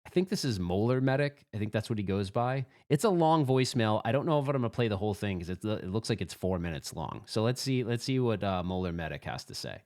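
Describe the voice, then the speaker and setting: Upbeat man with lively, swinging pitch. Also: fast, few pauses. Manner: upbeat, earnest, rambling. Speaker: host of a live pinball podcast. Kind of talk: casual chat, reacting to voicemails.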